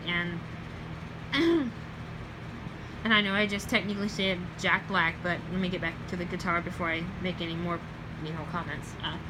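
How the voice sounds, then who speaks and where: A young woman with a Southern US accent, chatting casually in a late-night vlog, rambling with many ums and self-corrections and trailing off softly. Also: medium pitch, noisy background. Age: young adult. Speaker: vlogger.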